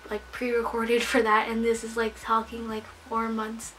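A young woman chatting to the camera in a small bedroom, bubbly and gesturing as she talks. Her voice sits fairly high but stays flat in its melody, and it sounds a little worn, like she is losing it. A faint hiss hangs under the recording. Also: normal speed. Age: young adult. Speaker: vlogger. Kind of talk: casual chat to camera. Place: small bedroom full of anime figures, indoors.

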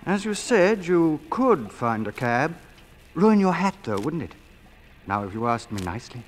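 An actor playing a brazen, flirtatious gentleman author, with a smooth, teasing manner in period radio drama style. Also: on the high side, very animated, a little slow. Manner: smooth, teasing, mock-hesitant courtship.

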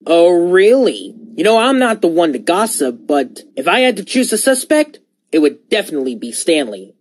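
A man voicing a chatty, scheming steam engine who insinuates things in an animated game. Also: sits high, moderate pace, no room sound. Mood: sly, gossipy. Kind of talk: fan animated game cutscene acting.

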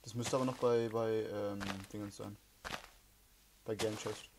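A man's voice: fairly low pitch, flat delivery, a little slow, noisy background. A man chatting casually and grumbling in slang while playing a game. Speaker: let's player in a group. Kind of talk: casual gaming chat.

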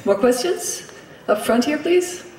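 A woman moderating a press conference, brisk and lively as she calls on questions. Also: high-pitched, slight background noise. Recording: echoey hall from far off.

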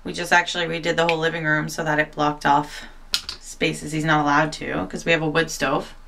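A woman's voice: sits low, little pitch movement, a little fast, gravelly, a bit bright. A young woman, a new mom, chatting casually to camera at home with lots of fillers. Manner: chatty and self-deprecating, many fillers. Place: home, indoors. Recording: voice a bit far from the mic.

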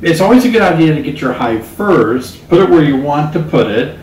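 A man in his fifties hosting a casual conversation in a living room, easygoing and quick to agree. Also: a little high, sing-song, a little mellow, slight background noise. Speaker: host. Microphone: far camera. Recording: echoey room, distant mic.

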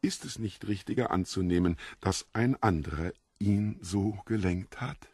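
An actor playing a mysterious foreign stranger, the Devil in disguise, courteous and theatrical, relishing morbid details in an audiobook drama. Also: male voice, fairly low pitch, measured pace, darkish tone, slightly rough, no echo, clean studio sound.

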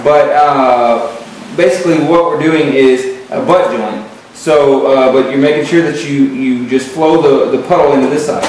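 A man's voice: mid-range voice, moderate pace, darkish tone, slight background noise. A male welding instructor giving a hands-on lesson, in a plain way with lots of fillers and repeated words. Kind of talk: hands-on tutorial. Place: metal workshop. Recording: very echoey workshop, distant mic.

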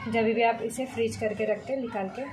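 Woman calmly narrating a home recipe. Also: on the high side, moderate pace, noisy background. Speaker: cooking channel host. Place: home kitchen.

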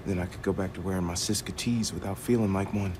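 A man's voice: sits low, steady tone, fast, natural voice. A young man playing an eager, casual, slangy hacker in a video game cutscene. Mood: pleading, nostalgic. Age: young adult. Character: eager, laid-back young male hacker. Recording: noisy ambient game scene.

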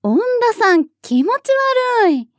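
An actress playing a haughty tsundere young office worker in a voice drama, coy and blunt. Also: female voice, high voice, big pitch swings, a little slow, background noise. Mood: snide, huffy. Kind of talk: Japanese voice drama dialogue.

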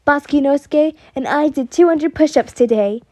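A cheerful little boy, voiced by a woman, excitable and eager, with a high, soft-edged tone. A storybook child in a dry, close recording. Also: takes it slowly, dry recording. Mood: proud. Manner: beaming, excitable.

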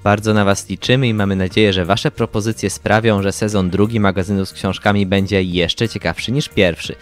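A man's voice: on the low side, steady tone, a little fast. A young man presenting a podcast as co-host, speaking from prepared material. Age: young adult. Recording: music playing behind the voice. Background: background music.

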